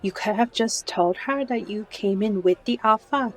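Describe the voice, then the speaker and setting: A woman's voice: mid-range voice, moderate pace, soft background music, lightly acted. A woman playing a friendly, wry bartender in a fantasy audio roleplay, chatty and familiar in manner. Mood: teasing, scolding.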